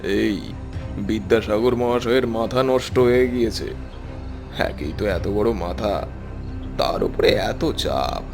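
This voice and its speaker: Man doing a gravelly, sneering village pundit. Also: on the low side, over music. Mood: mocking. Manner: mocking, unhurried. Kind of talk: cartoon voice acting.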